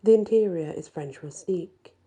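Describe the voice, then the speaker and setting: A woman narrating a first-person fantasy story in an expressive, deliberate storytelling style. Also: fairly low pitch. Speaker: story reader.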